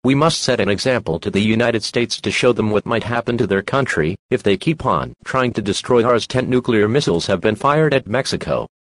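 A man's voice: fairly low pitch, animated, brisk, hardly any pauses, dry recording. A man reading a scripted emergency broadcast announcement in a formal, impersonal manner.

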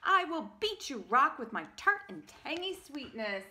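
Woman as tart talking fruit, storybook: high-pitched, indignant, forceful, spoiling for a duel. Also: sing-song, measured pace, exaggerated voice. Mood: indignant, defiant. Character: tart, feisty old apricot fruit duelist.

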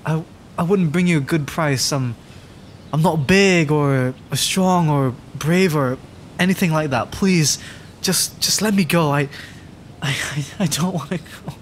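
A man's voice: on the high side, unhurried, slight background noise, natural voice. A man voicing a timid, adorkable young dragon in a roleplay audio drama, stammering, hesitant and rambling. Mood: panicked, pleading.

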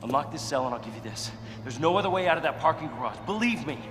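Male actor as bitter, desperate jailbird in a game, high-pitched, reverberant room, loud music underneath. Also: animated, fast talker, lightly acted. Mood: bargaining. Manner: profane, pushy, bitter. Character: cynical, desperate jailed man pleading for release. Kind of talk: video game cutscene acting.

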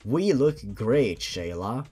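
A man's voice: medium pitch, big pitch swings, takes it slowly, warm tone, breathy edge, pitched below the performer's own voice, full character voice. A man voicing a sinister, scheming inner voice in a story, giving orders conspiratorially. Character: sinister, scheming inner voice goading a teenage girl. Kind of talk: dramatic story reading. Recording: music behind the voice.

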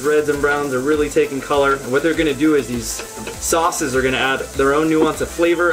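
Deadpan man hosting a cooking demo. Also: medium pitch. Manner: earnest instructional patter with deadpan jokes. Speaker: cooking show host. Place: home kitchen. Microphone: lapel mic. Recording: music playing underneath.

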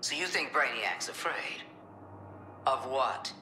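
An actress playing a stern, ruthless government commander in a video game, blunt, contemptuous and commanding. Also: female voice, a bass voice, expressive, slow, bright, forceful tone, roomy sound, slight background noise, full character voice. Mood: probing. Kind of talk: dramatic video game voice acting.

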